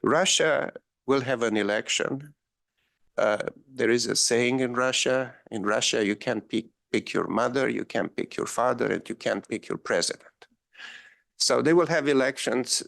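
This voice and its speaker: A man's voice: medium pitch, normal speed, gravelly, fairly bright tone, no room sound. A man from Romania giving a policy panel talk, with frequent ums and wry asides. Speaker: panel speaker.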